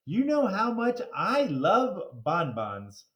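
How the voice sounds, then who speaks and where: A man's voice: high voice, huge pitch swings, slow, a bit bright, heavily acted. A man voicing a sweet-toothed, bashful and gracious woman teacher in a children's storybook. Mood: grateful, coy. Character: sweet-toothed female primary teacher, easily tempted.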